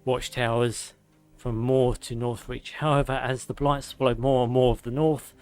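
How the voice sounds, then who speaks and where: Hoarse streamer reading lore. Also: male voice, medium pitch, little pitch movement, moderate pace, a little mellow. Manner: sarcastic asides, swearing. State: hoarse, losing his voice; slightly out of breath. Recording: faint music behind.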